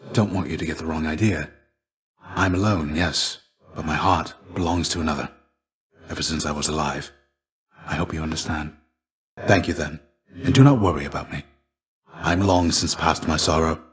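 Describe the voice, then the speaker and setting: Man voicing a deep, fatherly, weary warrior mentor. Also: natural voice. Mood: earnest, gracious, then sorrowful, regretful. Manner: measured, formal, gentle archaic phrasing. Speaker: game character voice actor. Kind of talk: scripted video game dialogue.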